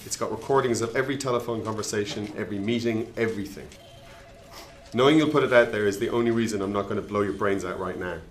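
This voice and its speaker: A man's voice: lowish voice, quick pace, roomy sound, slight background noise, lightly acted. A man playing a bitter rogue intelligence killer in a radio drama, commanding and threatening. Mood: cold menace.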